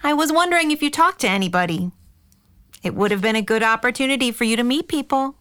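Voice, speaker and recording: Woman acting bright-voiced, worried, weary mother. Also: on the high side, expressive, quick pace. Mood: concerned. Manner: earnest, exasperated. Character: worried, weary mother and aunt, caring but firm. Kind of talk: audio drama acting.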